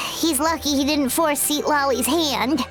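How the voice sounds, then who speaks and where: A woman voicing a tiny, chatty, childlike fairy companion in an anime-style video game, squeaky and earnest. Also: slow, heavily acted. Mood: relieved. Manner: perky, expressive, childlike delivery. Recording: music playing behind the voice.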